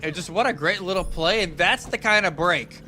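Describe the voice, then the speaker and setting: A man with a bright, fairly high voice commentates a live esports match. He breaks down the game conversationally, in a casual and halting flow. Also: faint music behind.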